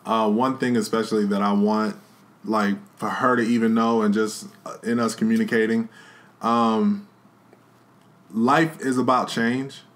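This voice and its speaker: A man co-hosting a casual podcast with his wife, talking in a relaxed, slangy, earnest way about becoming a father. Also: on the low side, unhurried. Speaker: podcast co-host, expecting father. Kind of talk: casual chat.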